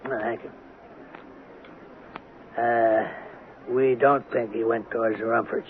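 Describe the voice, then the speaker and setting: A plain-spoken American country sheriff, acted by a man, speaks in a middle-pitched voice with rural turns of phrase. He is apologetic but keeps probing, in old radio drama style. The sound is thin and boxed-in, with light hiss. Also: level tone, slow pace, close to an everyday voice. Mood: folksy, matter-of-fact. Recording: narrow, noisy old radio broadcast.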